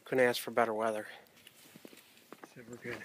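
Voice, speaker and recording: A middle-aged man filming himself on a snowy mountain hike outdoors, chatting casually into a handheld camera at arm's length, halting with fillers as he pauses on the climb. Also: mid-range voice, flat delivery, slight background noise. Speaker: hiker filming his hike. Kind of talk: casual vlog narration.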